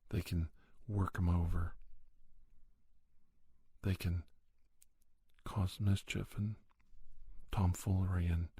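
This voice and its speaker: A middle-aged man hosting an ASMR podcast, giving a reflective monologue slowly and deliberately, repeating phrases for emphasis. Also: low voice, level tone, dark tone, slightly rough, no echo. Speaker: podcast host.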